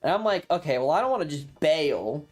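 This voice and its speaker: Casting: streamer with a medium-pitched voice, casual and profane, emphatic dramatic storytelling for a chat audience, music underneath. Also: expressive, a little fast. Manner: emphatic, repeats phrases for drama; casual, swearing. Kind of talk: animated storytelling to a livestream chat about a tabletop game.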